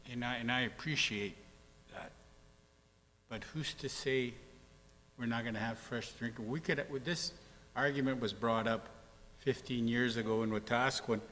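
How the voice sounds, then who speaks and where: A man's voice: medium pitch, moderate pace, long pauses, a bit bright. A man speaking as a city councillor in a council debate, blunt and folksy in a plain-spoken way. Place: council chamber. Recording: reverberant chamber with faint hum.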